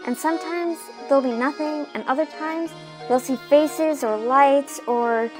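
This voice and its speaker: A woman's voice: high voice, a little slow, over music. A woman playing a bubbly, chatty young townswoman in a fantasy audio drama. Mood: mysterious.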